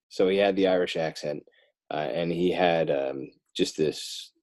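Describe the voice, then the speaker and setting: An American man talking casually as an interview guest, halting and thinking aloud with repeated false starts. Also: deep voice, darkish tone. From northern New Jersey, United States. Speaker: interview guest, children's book author and illustrator.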